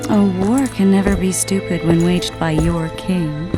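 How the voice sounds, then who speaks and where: Woman as a low-voiced, composed sorceress. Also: steady tone, music in the background, natural voice. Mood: composed, reasoning. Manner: measured, articulate, gently argumentative. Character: composed, learned adult sorceress, loyal and reasoning. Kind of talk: video game cutscene acting.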